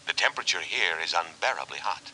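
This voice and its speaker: Deep, formal war robot. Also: male voice, animated, quick pace, bright, forceful tone, heavily acted, rough recording. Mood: calm menace. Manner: formal, precise, unemotional delivery. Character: calm, officious war robot boat, menacingly solicitous. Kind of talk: 1950s radio drama acting. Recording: hissy 1950s radio recording.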